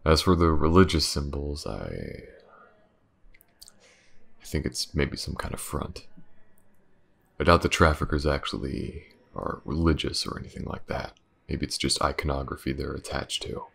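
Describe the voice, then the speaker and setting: A man playing a weary, driven detective in an audio roleplay, with a hesitant, muttering delivery and a gravelly voice. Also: expressive, normal speed, pauses often, fairly bright tone, exaggerated voice. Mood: brooding, thoughtful. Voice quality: very deep, gravelly. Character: weary, driven male detective investigating a dark mystery. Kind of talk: intimate audio roleplay acting.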